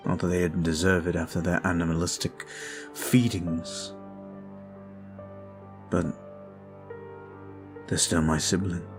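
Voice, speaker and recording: A man voicing a brooding male vampire who is weary and guilt-ridden, talking slowly and softly in an ASMR roleplay. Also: low-pitched, little pitch movement. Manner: slow, soft, confiding. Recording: faint music behind.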